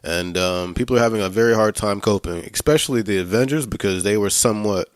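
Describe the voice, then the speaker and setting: A man hosting a casual film podcast, talking in a halting way, searching for words and swearing freely. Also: fairly low pitch, no echo, studio quality. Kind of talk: casual movie review chat.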